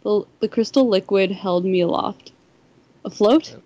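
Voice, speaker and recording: A woman's voice: mid-range voice, unhurried, mellow, highs cut off. A woman reading a first-person story aloud on a podcast, sometimes stumbling over the words. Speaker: fan fiction podcast reader.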